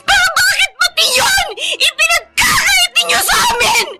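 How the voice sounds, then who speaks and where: A caring elder sister in a radio drama. Her voice is extremely high and bright, earnest and full of feeling. She speaks with a pleading edge. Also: lively intonation, normal speed, faint music behind, heavily acted. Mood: anguished. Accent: Filipino. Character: anguished, caring older sister pleading with parents. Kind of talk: Tagalog radio drama acting.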